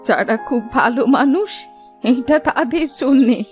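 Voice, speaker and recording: A high-pitched old village auntie, speaks in an effusive, motherly, folksy way. She is a grateful, affectionate cartoon fairy-tale elder, with faint music playing behind her. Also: lively intonation, unhurried. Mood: kindly, insistent. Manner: effusive, folksy, motherly way of addressing younger people.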